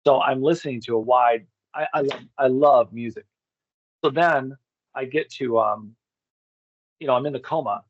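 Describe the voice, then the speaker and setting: A man's voice: mid-range voice, normal speed, pauses often, dull audio, a little hiss or hum. A middle-aged man telling personal stories in a candid, rambling, profane way as an interview guest. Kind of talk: casual personal storytelling.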